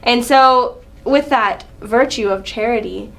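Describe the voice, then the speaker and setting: A woman's voice: a little high, slow, creaky, a bit bright. A young adult woman giving a casual, informal student presentation.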